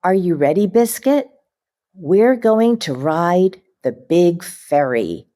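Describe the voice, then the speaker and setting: Cheerful little girl in a picture book, gentle sing-song lines, a lady reading her aloud, slow and mellow. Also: on the low side, sing-song.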